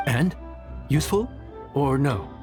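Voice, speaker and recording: Friendly young cook in a game, chatty n a bit hesitant, lively pitch, music under it. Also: male voice, slow. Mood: easygoing. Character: friendly, humble, chatty young male inn chef. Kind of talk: video game acting.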